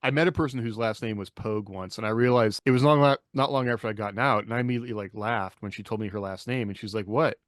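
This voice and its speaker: A man's voice: lowish voice, fast talker, few pauses, no echo, studio quality. A man hosting a podcast in a casual, informal, anecdotal chat style. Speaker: podcast host.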